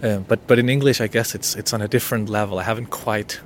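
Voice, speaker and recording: A man's voice: fairly low pitch, steady tone, quick pace, slight background noise. A man whose first language is Danish hosts an unscripted solo podcast, talking in a hesitant, intimate way with many restarts. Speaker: podcast host.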